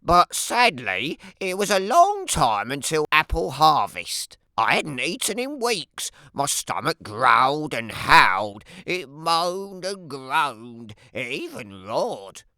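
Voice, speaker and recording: A woman voicing a sly, hungry, wry male wolf who tells his own tale in an animated children's read-aloud, with dramatic emphasis and playful asides. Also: low voice, slow pace, hardly any pauses, no room sound, exaggerated voice.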